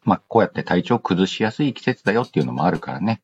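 A man's voice: sits low, big pitch swings, fast talker, a little mellow, slightly rough. A man hosting a casual radio-style show, chatting and reading listener letters in a playful, slangy way with self-interrupting asides. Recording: dull lo-fi sound with music behind. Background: background music.

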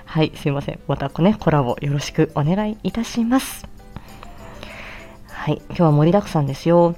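Cheerful lady doing a casual morning update, lowish voice, giggly little asides, bit of music behind her. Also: expressive, moderate pace, warm tone. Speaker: audio channel host, speech therapist and amateur voice actor. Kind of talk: casual chatty morning announcement. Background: background music.

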